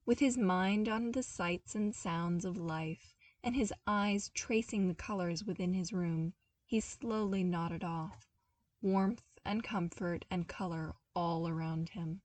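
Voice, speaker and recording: A woman reading a story aloud in an expressive, scripted narration style. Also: on the low side, takes it slowly, distant mic. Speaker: podfic narrator reading fan fiction.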